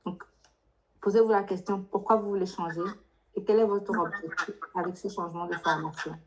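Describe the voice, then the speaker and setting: A woman's voice: on the low side, steady tone, a little fast, low-quality recording. A woman giving spontaneous advice as a workshop adviser, directive and insistent, with probing questions. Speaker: adviser leading a workshop for international students. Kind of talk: live advisory Q&A, spontaneous spoken explanation.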